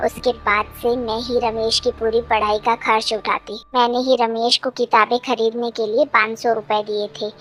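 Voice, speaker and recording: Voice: mid-range voice, fairly bright tone, lightly acted. A performer voicing a kind, honest village schoolmistress, composed and explanatory, in a cartoon.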